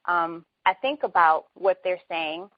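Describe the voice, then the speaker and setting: A soft-spoken, mild-mannered woman hosting a casual conversation. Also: fairly low pitch, animated, unhurried, no room sound. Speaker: host. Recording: dull, dry lo-fi recording.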